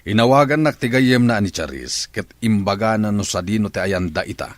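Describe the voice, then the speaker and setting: Urgent, low-voiced household man acted by a man, Ilocano. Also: lively intonation, a little fast, no echo, close to an everyday voice. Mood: worried. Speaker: voice actor in drama podcast. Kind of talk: emotional radio drama acting.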